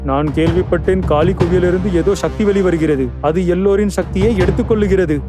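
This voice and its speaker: A man's voice: sits high, brisk, music in the background, close to an everyday voice. A man voicing a worried forest animal with formal, bookish speech in a cartoon fable. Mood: worried, urgent.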